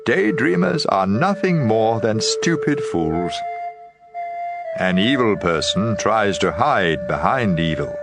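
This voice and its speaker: A man's voice: on the low side, big pitch swings, takes it slowly, gravelly. A man giving a dramatized reading of scripture as an audio Bible reader. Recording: prominent background music. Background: music.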